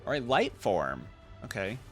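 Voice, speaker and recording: A woman hosts a casual reaction video in a very low voice. She makes informal asides and repeats lines back, with music faintly playing behind her. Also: sing-song, measured pace.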